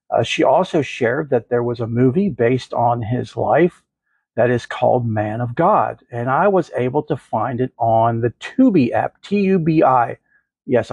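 Congested, gravelly man hosting a faith podcast. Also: medium pitch, darkish tone, no room sound, dull audio. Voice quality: nasal. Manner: earnest, effusive, jokes with himself. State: congested from the flu. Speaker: podcast host. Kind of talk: faith podcast chat and reading aloud.

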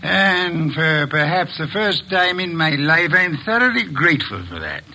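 An actor playing a fat, pompous detective in a 1950s radio drama, speaking in calm, measured, formal sentences. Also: male voice, on the high side, exaggerated voice. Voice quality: formal, measured, elaborate diction.

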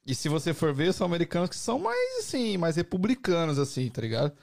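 A man's voice: fairly high pitch, huge pitch swings, quick pace, creaky, a little mellow. A Brazilian man in a casual podcast chat, talking with lots of slang and restarts.

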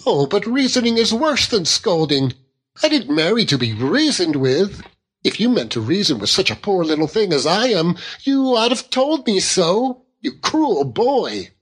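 A man's voice: sits high, sing-song, a little slow, slightly rough, pitched above the performer's own voice, exaggerated voice, poor audio. A man voicing a childish, plaintive young Victorian wife who pouts and wheedles in a storybook reading. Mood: hurt, pouting. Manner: pouting, plaintive.

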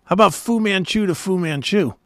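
A man's voice: high-pitched, fairly quick, no room sound. A middle-aged man co-hosting a talk show, bantering casually about sports in a blunt, argumentative, opinionated way.

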